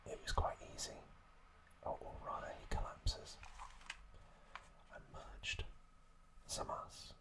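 A man hosting ASMR, narrating in a hushed whisper with drawn-out phrasing, close to the microphone. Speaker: ASMR host.

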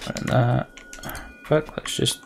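A man's voice: slow, soft background music. A man giving casual let's play commentary, thinking aloud and muttering to himself in short fragments.

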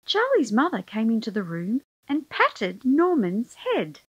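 A woman's voice: on the high side, wildly swooping pitch, unhurried, husky, no echo, dull audio. A woman reading a children's picture book aloud as storyteller, expressively, drawing out key words for emphasis.

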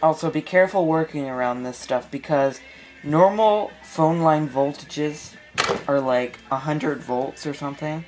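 A man giving a casual hands-on electronics tutorial, speaking haltingly as he thinks aloud while working. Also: on the high side, over music. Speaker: electronics hobbyist presenter. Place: small indoor room at a workbench.

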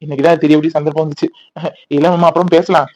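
A man's voice: on the high side, little pitch movement, rapid-fire, darkish tone, close to an everyday voice. A man voicing an older father-in-law who greets his daughter-in-law heavily. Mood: gruff. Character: older father-in-law, gruff but welcoming. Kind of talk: Tamil domestic audio drama.

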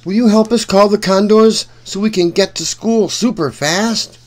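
A man reading a storybook aloud for children in an animated, deliberate way, speaking directly to young listeners. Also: high-pitched.

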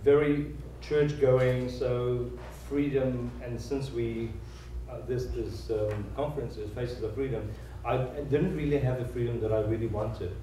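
A man from South Africa in his thirties, a guest telling his life story in an interview, earnest and halting, with many restarts. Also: medium pitch, fairly even intonation, dark tone, a little hiss or hum. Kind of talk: conversational oral-history interview. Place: large echoey room, seated on stage. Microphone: handheld mic. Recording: roomy hall sound, mic picked up from a distance.

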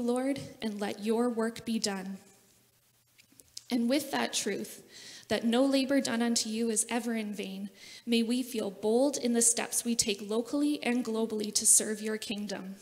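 A woman reads a prayer to a congregation. Her voice sits a little high, and a long reverb from the large church hall trails her words. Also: a little mellow. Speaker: church member leading prayer. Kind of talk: scripted prayer read aloud. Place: large church sanctuary, at a lectern.

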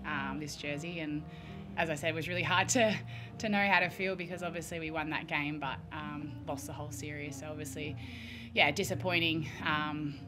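Young Australian woman athlete with a low voice, casual and filler-heavy at a press conference. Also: steady tone, normal speed, music in the background. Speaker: team co-captain and player. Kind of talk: post-match press conference answers. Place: press conference room with sponsor backdrop. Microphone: desk microphone.

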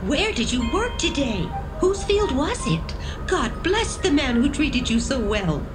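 An older widowed mother-in-law in a dramatised Bible story. She is caring and guiding, with animated, swooping intonation. Music plays clearly behind her. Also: sits high, measured pace. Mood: grateful, eager. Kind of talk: dramatised audio Bible acting.

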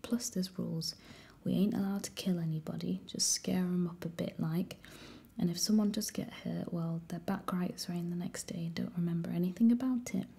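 A woman's voice: fairly low pitch, fairly even intonation, moderate pace, vocal fry, dark tone, slightly rough. A woman reading aloud and voicing a cocky, scheming teenage boy who raises the dead, all in a whisper. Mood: sly. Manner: whispered, sly and teasing.

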